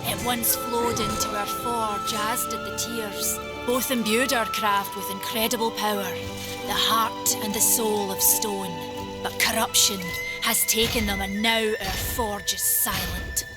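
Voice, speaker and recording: A woman's voice: mid-range voice, fairly even intonation, unhurried, close to an everyday voice. A woman playing a proud, sturdy forge keeper of an ancient fantasy race in a video game, frank and earnest. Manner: frank, earnest, archaic turns of phrase. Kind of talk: dramatic fantasy game acting. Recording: loud music behind the voice.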